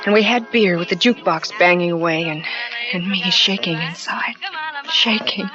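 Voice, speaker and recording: A woman's voice: fairly low pitch, normal speed, close to an everyday voice. An actress playing a devoted, anxious young wife who narrates her story in a vintage radio drama, breathless and pleading. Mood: anxious narration. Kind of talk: 1940s radio acting. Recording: muffled old radio broadcast with music.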